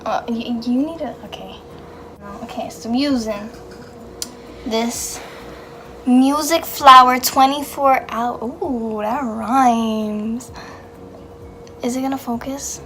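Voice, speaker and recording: A woman's voice: on the high side, slow, long pauses, background noise. A young woman doing her sister's makeup, joking and muttering to herself as she works. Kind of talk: casual chat during makeup challenge.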